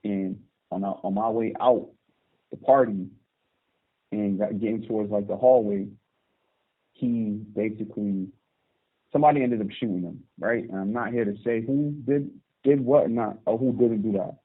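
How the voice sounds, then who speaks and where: A man in his thirties from Barbados, calling in from prison and telling his story calmly, with hesitant restarts. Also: on the low side, moderate pace. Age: 30s. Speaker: guest. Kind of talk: phone interview. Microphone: phone call. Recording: tinny phone line.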